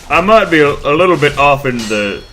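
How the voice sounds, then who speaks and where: Man, stuffy from a cold, rambling, self-mocking, sharp-toned voice. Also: on the high side, lively intonation, fairly quick, slight background noise. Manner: rambling, crude, self-deprecating, many restarts. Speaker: let's play host. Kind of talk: casual gameplay commentary.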